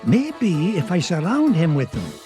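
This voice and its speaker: Elderly Scottish cartoon duck, treasure-mad uncle, high animated delivery, over game music. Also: male voice, unhurried. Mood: keen, bossy. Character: elderly Scottish male duck adventurer, gruff, treasure-hungry, excitable uncle. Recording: music behind the voice.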